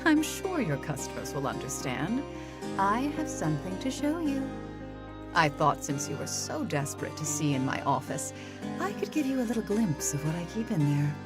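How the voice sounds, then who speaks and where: A woman voicing an inhuman creature posing as a mother in a visual novel, sugary, condescending and menacing, with theatrical, drawn-out endearments. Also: lowish voice, big pitch swings, loud music behind the voice. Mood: condescending, sweetly menacing. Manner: theatrical, drawn-out endearments, mock-hurt sighs.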